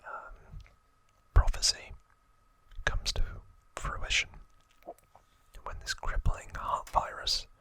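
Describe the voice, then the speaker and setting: A man hosting ASMR, narrating trivia in a breathy, hushed whisper with unhurried pauses. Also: poor audio. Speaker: ASMR host.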